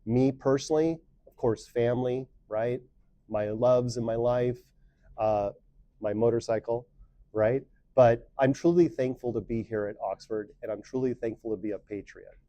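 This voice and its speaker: A man with a warm, mellow voice gives students an upbeat, encouraging talk, leaning harder on key words. The sound is close and dry, with no room around it. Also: mid-range voice, fairly even intonation, normal speed, no room sound. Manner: upbeat and encouraging, swelling louder for emphasis. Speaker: school staff member giving a weekly message. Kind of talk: scripted pep talk to students.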